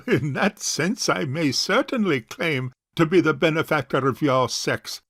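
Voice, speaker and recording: Courtly old-school bachelor uncle: gravelly, hesitant, long-winded, with animated pitch for comic farce. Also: male voice, unhurried, no room sound, exaggerated voice. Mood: courtly, amused. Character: suave, hesitant rich older bachelor uncle. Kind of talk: stage farce audio drama acting.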